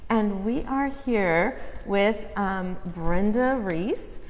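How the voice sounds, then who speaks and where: An American woman hosts a casual interview with a mid-range voice. She speaks haltingly and gushes a little as she introduces things. Long reverb from a hall surrounds her. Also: mid-range voice. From California, United States. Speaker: host.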